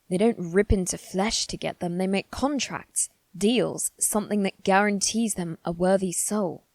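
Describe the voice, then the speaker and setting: ASMR roleplay lead: proud, brooding young noble boy voiced by a woman, soft dark timbre, close dry sound, deliberate and analytical. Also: on the low side, dry recording. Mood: troubled, musing. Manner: measured, musing aloud, formal phrasing with deliberate pauses. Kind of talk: ASMR roleplay, scripted dramatic monologue.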